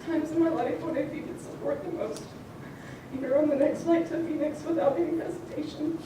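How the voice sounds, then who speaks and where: A fairly high-voiced young woman speaks from a podium at a graduation ceremony. The sound carries in a big echoing hall, picked up from a distance with some noise underneath. She speaks lightly and jokes as she thanks people. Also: steady tone, moderate pace. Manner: light, joking and tearful at times, frequent laughs. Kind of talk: ceremony speech. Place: large hall. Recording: echoey hall, far from the mic, audible noise.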